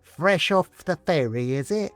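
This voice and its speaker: A man's voice: on the high side, animated, unhurried, a bit bright, dry recording, voice pushed up. A man voicing a cheeky little skeleton figurine come to life in a storybook, with a Cockney accent. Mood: cheeky, nosy. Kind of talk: storybook character voice.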